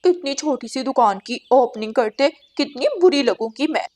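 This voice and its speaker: A woman voicing a greedy, haughty female crow with a sharp, scornful manner in a cartoon story. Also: high voice, moderate pace. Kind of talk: Hindi animated moral-story dialogue.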